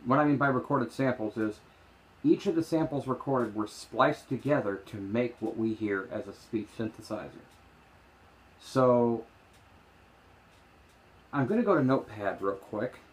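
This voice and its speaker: A man with a mid-pitched voice gives a casual, unscripted explanation. He meanders and halts as he goes, offering his opinions while demonstrating. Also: lively intonation, unhurried, pauses often, husky, poor audio. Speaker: vlogger demonstrating screen reader voices. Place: small room indoors. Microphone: handheld phone, later lying on desk.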